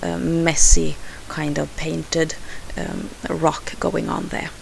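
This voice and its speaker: A woman's voice: low voice, steady tone, slow, creaky, slight background noise. A woman from Sweden with a Swedish accent, a native Swedish speaker, narrating a craft video in a hesitant way with frequent fillers and restarts. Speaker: scrapbooking crafter.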